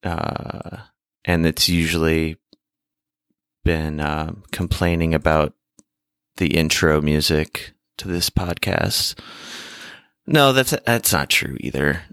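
A man hosting a podcast in casual, rambling talk, halting and pausing as he goes, close on the microphone. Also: rumbling low, creaky, fairly bright tone, clear, resonant tone, no echo. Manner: halting, hesitant delivery with long pauses. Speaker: podcast host. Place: remote recording over a video call. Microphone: microphone held close to the mouth.

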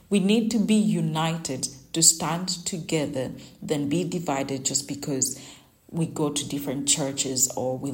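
A woman's voice: low-pitched, darkish tone. A young woman whose first language is Luganda, hosting a devotional podcast and sharing earnest, conversational reflections on scripture and her own life. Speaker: Bible devotional podcast host. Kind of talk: personal Bible reflection and teaching.

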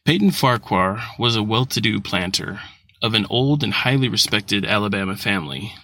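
A man narrating a literary short story from a script, in a measured, formal manner with an occasional stumble. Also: lowish voice, expressive, bright, forceful tone, no room sound. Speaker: audiobook narrator.

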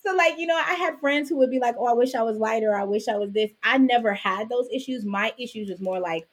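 Jamaican-born lady from brooklyn, husky voice, chatty, keeps tossing in "right?". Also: fairly high pitch, fast talker. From Jamaica, raised in Brooklyn. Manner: animated storytelling with frequent "right?" asides. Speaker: podcast guest, author and business owner. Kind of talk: casual interview conversation.

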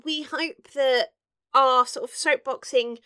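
Woman co-host, high bright voice, casual earnest chat. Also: fairly even intonation, slow, clean tone. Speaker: podcast co-host.

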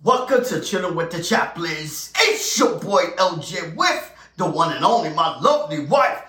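A man's voice: a little high, sing-song, pushed and bright. Guy, slangy hype co-host, bouncy voice, roomy sound a bit far off. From United States. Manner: upbeat, slangy and quick to laugh. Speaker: podcast co-host. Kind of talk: casual chat. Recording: roomy, distant mic, faint music.

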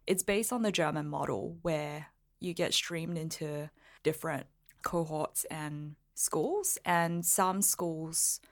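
Podcast guest, female, from Singapore, low register, thoughtful conversational explainer with loose, informal phrasing. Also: takes it slowly. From Singapore, moved to Melbourne at thirteen. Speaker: podcast guest, lawyer and blogger. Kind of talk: casual interview chat.

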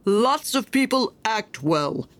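A woman's voice: on the high side, very animated, slow pace, dry recording. A woman doing a smug, preachy miller. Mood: self-satisfied. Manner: smug, preachy, self-praising. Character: pompous, self-righteous male miller.

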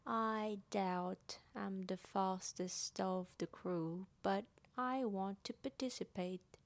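Glum storybook donkey, done by a woman, muttering, very slow, modest, creaky. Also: mid-range voice, no room sound. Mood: resigned, mild. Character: gloomy-voiced, modest, slow old male donkey.

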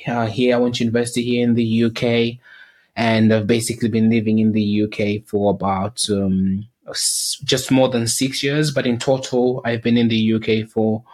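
A man with a Southern African accent hosting a casual podcast chat, speaking in a hesitant, halting way. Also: medium pitch, level tone, darkish tone. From Zimbabwe, grew up in South Africa. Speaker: podcast host.

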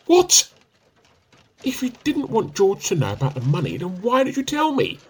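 Man acting husky husband, lively pitch. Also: moderate pace, heavily acted. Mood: defensive. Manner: conversational, bantering. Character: easygoing, evasive husband, bad at keeping secrets. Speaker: amateur radio play actor. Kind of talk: domestic radio play dialogue.

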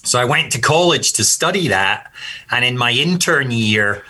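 A man in his fifties with an accent that sits between Scottish and American. His voice is bright and forceful, and he answers thoughtfully, stopping often with "uh" and starting phrases again. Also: mid-range voice, expressive, normal speed. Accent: mixed Scottish and American. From Scotland. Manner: reflective, hesitant, frequent uh and restarts. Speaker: golf course architect guest. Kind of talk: conversational interview answers.

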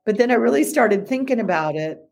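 A woman from the United States co-hosting a podcast, telling stories in an animated, self-questioning way. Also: fairly high pitch, fairly quick, vocal fry. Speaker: podcast co-host. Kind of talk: conversational podcast talk.

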